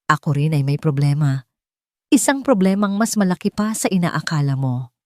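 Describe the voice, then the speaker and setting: A woman's voice: deep voice, expressive, normal speed, no echo, studio quality. A woman voicing a desperate, commanding millionaire boss in an audiobook, serious, weighty and insistent. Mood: grave, insistent.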